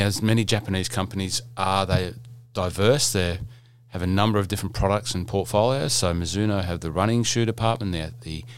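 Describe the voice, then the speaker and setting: Deep-voiced chatty man. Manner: chatty, rambling, jokey, many fillers and restarts. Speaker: podcast host. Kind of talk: casual podcast interview.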